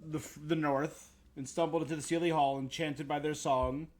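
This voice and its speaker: Slightly high-voiced man streaming a game. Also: fairly even intonation, normal speed. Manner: thinks aloud, echoes game dialogue. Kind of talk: casual live game reaction commentary. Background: faint game music.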